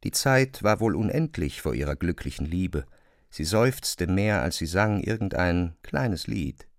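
A man narrating a literary radio drama in a measured, serious manner. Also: sits low, expressive, no room sound, clean studio sound.

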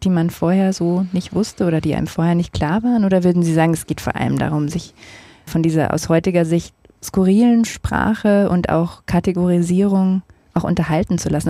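Lady interviewer, soft dark tone, measured n articulate, dry close sound. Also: on the low side, no room sound. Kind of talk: prepared interview questions.

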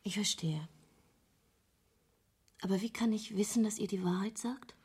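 A woman's voice: medium pitch, a little slow, darkish tone, husky. An actress playing a hesitant young woman painter in a radio drama, questioning and doubtful as she bargains.

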